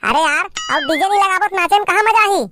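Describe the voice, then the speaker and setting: A woman voicing an excitable, boisterous young village man with a Chhattisgarhi accent in a cartoon comedy. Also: very high voice, fast talker, noisy background. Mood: eager, argumentative. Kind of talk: amateur cartoon comedy dialogue.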